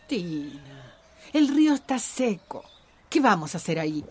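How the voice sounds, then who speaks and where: A woman's voice: lowish voice, very animated, measured pace, a little mellow, husky, full character voice. An actress playing a doubtful, fretful village woman in a radio drama. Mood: sceptical, uneasy.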